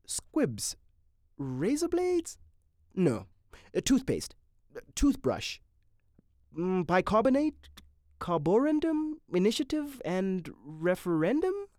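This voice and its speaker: A man voicing a meek, middle-aged daydreaming husband, hesitant in life and crisp in his fantasies. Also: high voice, huge pitch swings, takes it slowly, pauses often, darkish tone, no echo, studio quality, voice pushed up, heavily acted. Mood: fretful.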